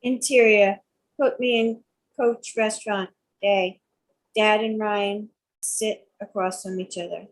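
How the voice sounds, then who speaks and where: A woman narrates a script's stage directions in a medium-pitched voice. There is faint noise behind her. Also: fairly even intonation, slow pace, rough recording.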